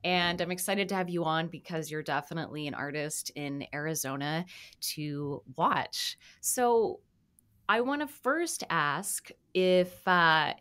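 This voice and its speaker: A woman's voice: deep voice, a bit bright. A woman hosting a radio arts interview show, talking in a friendly, enthusiastic way. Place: radio studio.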